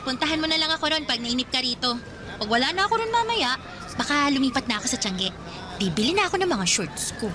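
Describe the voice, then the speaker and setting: High, playful teenage girl. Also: sing-song, fast talker. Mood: teasing, breezy. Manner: playful, teasing. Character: lively, teasing, restless teenage girl cousin. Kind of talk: Tagalog radio drama acting. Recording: music and vehicle noise behind voice.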